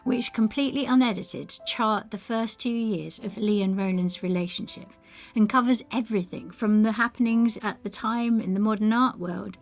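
A woman narrates as the presenter of a podcast series. Her voice is medium in pitch with noticeable creak. Faint music plays behind her scripted delivery. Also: normal speed.

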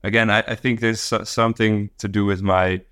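A man who grew up in Tanzania, a guest on a podcast, talking in a relaxed, thoughtful way with many fillers and restarts. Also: fairly low pitch, brisk, smooth, no room sound, studio quality. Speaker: guest, company co-founder. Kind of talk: casual podcast interview.

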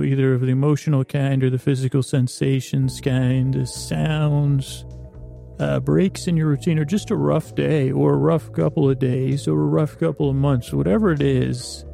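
A man hosting a bedtime podcast in a creaky, lulling tone, meandering and halting with long pauses. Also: medium pitch, mellow. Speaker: podcast host. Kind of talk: rambling bedtime-story podcast monologue.

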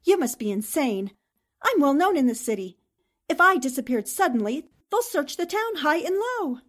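Woman acting a defiant, higher-voiced captive newspaperwoman. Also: big pitch swings, moderate pace. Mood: indignant. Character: defiant, sharp-witted young American newspaperwoman held captive. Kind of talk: old-time radio drama acting.

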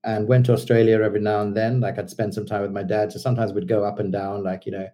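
A man in his fifties, raised in Sri Lanka and England, speaks. His voice is low-pitched and very dark. He talks in a relaxed, rambling way, full of fillers and restarts. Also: quick pace. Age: 50s. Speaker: guest entrepreneur. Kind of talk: casual interview talk.

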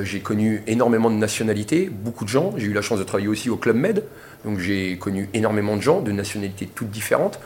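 Man in his thirties, low voice, impassioned protester at a press conference, insistent, repeats himself. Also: darkish tone, slight background noise. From Portugal. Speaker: protester giving a press interview.

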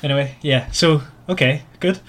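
A man from Northern Ireland with a Northern Irish accent hosts a casual book discussion, talking in a halting way and often repeating words. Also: fairly high pitch, fairly bright tone. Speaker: podcast host.

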